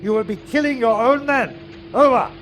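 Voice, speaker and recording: An actor playing a commanding, gruff and defiant U-boat captain in a wartime radio drama, with clipped, emphatic military delivery. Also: male voice, extremely high pitch, moderate pace. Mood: insistent. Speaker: submarine captain. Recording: radio drama with loud engine noise.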